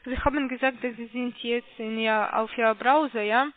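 A woman call-centre caller with a foreign accent in non-native speech, giving halting, insistent instructions and repeating her questions over a muffled phone line. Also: fairly high pitch, steady tone. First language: not German. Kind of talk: scripted phone sales instructions.

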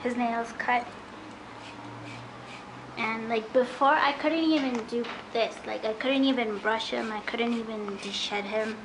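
A woman's voice: a little high, measured pace, noisy background. A woman chatting casually and a little hesitantly in an informal, rambling way as she works as a dog groomer. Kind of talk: casual hands-on vlog chat while working. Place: indoor grooming room with metal tub. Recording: music playing in the background. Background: radio music.

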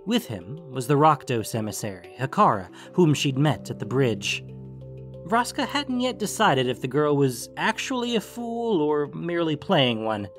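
A man's voice: a little high, sing-song, moderate pace, faint music behind. Male narrator of a fantasy story.

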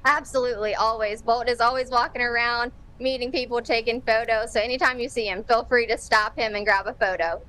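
A young woman with a bright, forceful voice pitched a bit high. She speaks as a team spokesperson in a live TV interview, listing event details from an open-air stadium concourse. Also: fairly even intonation, fairly quick, few pauses. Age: young adult. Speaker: baseball team marketing executive, interview guest.

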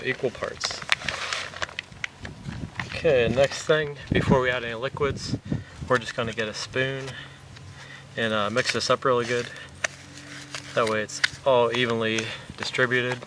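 A man's voice: medium pitch, normal speed, long pauses. Man explaining a recipe outdoors, distant mic. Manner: relaxed, plain step-by-step explaining with fillers. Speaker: fishing vlogger. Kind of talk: casual how-to demonstration. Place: outdoors on a lakeshore. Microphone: camera mic from a few meters away. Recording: distant outdoor camera audio with wind noise.

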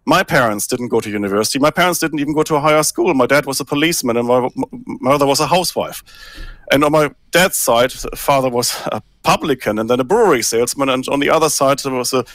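A man's voice: medium pitch, fast talker, fairly bright tone, no echo. A man in his forties from Germany, speaking earnestly and emphatically as a guest on a video call from a small room. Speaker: think tank guest. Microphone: headset on a video call.

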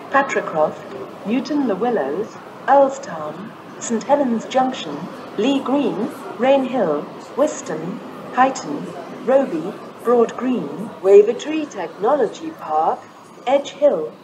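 A woman with a British accent giving automated, scripted railway announcements in a measured, formal, pieced-together way. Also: mid-range voice, lively intonation. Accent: British English. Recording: echoey, noisy station announcement recording.

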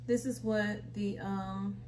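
A woman's voice: medium pitch, steady tone, slow pace. An American woman giving a casual, folksy how-to demonstration as the host of a home gardening video. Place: small indoor room at home. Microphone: camera a short distance away. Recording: roomy, distant mic, faint noise.

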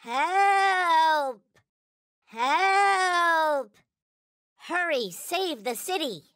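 A man voicing a small, squeaky, childlike toy alien in a video game, earnest and worshipful, with stilted, formal phrasing. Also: animated, drawn-out words, pushed and bright, no echo, studio quality, heavily acted. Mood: alarmed. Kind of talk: video game character voice lines.

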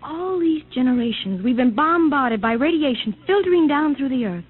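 An actress in a 1950s radio drama playing a frightened, tender young wife with breathless, melodramatic delivery, on a noisy old recording. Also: female voice, high voice, lively intonation, normal speed, narrow, tinny audio. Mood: awed, excited.

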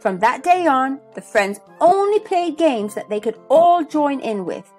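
A woman hosting a children's read-aloud, narrating a storybook expressively and deliberately. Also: high-pitched, sing-song, a little mellow. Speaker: host and storybook reader. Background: music.

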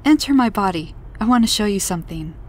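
A woman voicing a warm, eager, chronically ill woman who befriends an alien in a story, expressive and open, over background noise. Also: mid-range voice, normal speed, lightly acted. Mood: upbeat.

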